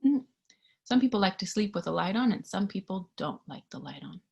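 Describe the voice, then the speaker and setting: A woman reading a picture book to young children in a gentle, singsong way, sometimes hushed, asking the listener simple questions with childlike wonder. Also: medium pitch, animated, a little fast, vocal fry, breathy edge, dull audio. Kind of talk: interactive children's read-aloud with questions to the listener.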